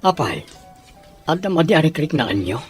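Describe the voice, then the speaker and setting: A man's voice: on the high side, big pitch swings, normal speed, soft background music. A man playing a troubled young husband, questioning insistently in an audio soap drama. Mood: uneasy, insistent.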